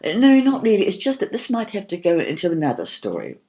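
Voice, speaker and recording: A woman's voice: lowish voice, pitched above the performer's own voice. A woman voicing an eager, hesitant little girl who stammers as she tells fairy tales in a children's storybook. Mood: hesitant, flustered. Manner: halting, stammering, excitable.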